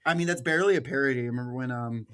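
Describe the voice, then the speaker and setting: A man's voice: mid-range voice, racing, a bit bright. A man co-hosting a casual podcast, joking in a wry, sweary way. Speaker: podcast co-host.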